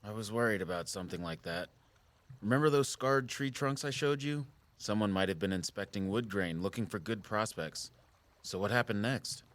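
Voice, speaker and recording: Man acting as outdoorsman, male, low-pitched, patient, concerned, measured, explanatory, naturalistic audio drama. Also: fairly bright tone, no echo, lightly acted. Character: Jordan, concerned, patient outdoorsman who watches the woods.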